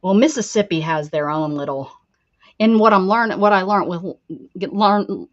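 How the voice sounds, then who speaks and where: A woman's voice: medium pitch, normal speed, dry recording, highs cut off. A woman with a mixed northern and Southern accent, chatting casually and rambling on a livestream, laughing often. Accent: mixed Pittsburgh and Texas. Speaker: craft channel host.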